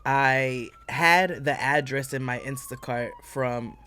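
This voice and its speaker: A woman with a deep voice co-hosting a casual recap chat, telling stories with animated delivery and frequent laughter. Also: takes it slowly, background noise. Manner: animated storytelling, laughs often. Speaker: podcast co-host.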